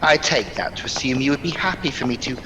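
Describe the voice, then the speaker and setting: Stern, formal worker played by a man, medium pitch, expressive intonation, dry humour, audio drama. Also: quick pace, noisy background, close to an everyday voice. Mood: disapproving.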